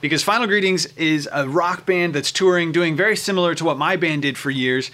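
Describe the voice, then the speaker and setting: A man's voice: a little high, a little fast, pushed and bright. A man with an American accent presenting and interviewing as a show host in an informal, enthusiastic, conversational way.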